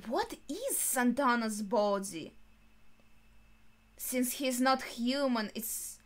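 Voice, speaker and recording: A young adult woman giving casual reaction commentary, thinking aloud haltingly in short phrases. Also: a little high, lively intonation. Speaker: anime reaction host. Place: small bedroom indoors.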